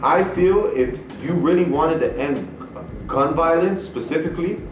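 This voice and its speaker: A man, co-hosting a podcast, reasoning out loud in a casual debate, restarting phrases with street slang. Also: a little high, moderate pace, slight background noise. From Canada. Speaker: podcast co-host. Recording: echoey room, mic far off, music behind.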